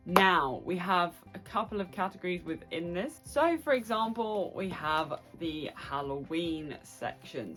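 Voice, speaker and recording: A woman hosting a chatty book vlog, speaking playfully and effusively with dramatic asides. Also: lowish voice. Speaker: book review vlogger and host. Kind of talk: chatty solo vlog to camera.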